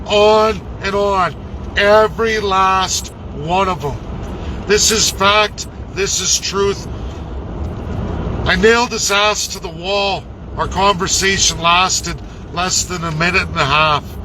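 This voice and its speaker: A man's voice: high voice, background noise. A man delivering an impassioned political rant to his followers in an emphatic, combative, profane way, repeating words for weight. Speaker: political commentator.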